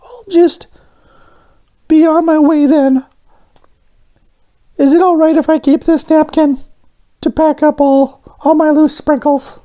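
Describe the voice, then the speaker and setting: Very high, squeaky little cartoon doughnut. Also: male voice, little pitch movement, takes it slowly, pauses often, warm tone. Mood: sad, tearful. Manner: eager, earnest, childlike. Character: Arnie, a small eager cheerful male talking doughnut.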